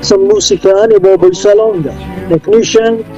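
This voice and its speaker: A man's voice: sits high, steady tone, measured pace. A man with a Filipino accent reading scripted credits as a radio drama announcer. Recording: dull lo-fi broadcast, loud music behind.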